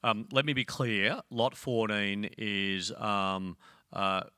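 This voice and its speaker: A man's voice: on the low side, steady tone, slow pace, sharp tone, clear, resonant tone, no room sound, studio quality. A man on a business panel, telling rambling anecdotes with many fillers and restarts. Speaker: panel speaker. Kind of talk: panel discussion.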